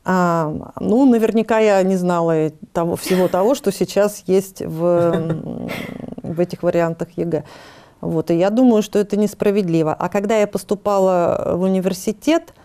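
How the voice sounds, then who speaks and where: A woman's voice: fairly low pitch, fairly even intonation, moderate pace, warm tone. A woman in her fifties from the Urals, a studio guest and educator, talking things over with hesitant fillers in a TV studio. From Urals, Russia. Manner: reflective, hesitant, many fillers. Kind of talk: casual studio conversation. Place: TV studio set.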